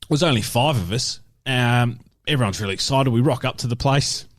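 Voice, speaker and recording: A man's voice: mid-range voice, brisk, dry recording. Australian man telling stories, dry close sound. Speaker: podcast co-host. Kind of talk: casual banter and storytelling.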